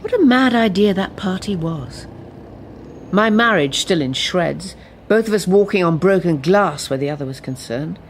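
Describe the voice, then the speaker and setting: A woman's voice: on the low side, expressive, moderate pace, a little hiss or hum. An actress playing a reflective woman who looks back ruefully in a radio drama. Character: reflective woman looking back ruefully on her troubled marriage. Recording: noticeable background ambience.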